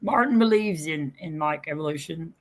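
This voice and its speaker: A man's voice: on the high side, lively intonation, normal speed. A man hosting a casual debate, hesitant and filler-heavy as he reasons aloud. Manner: hesitant, many fillers and restarts. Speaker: host.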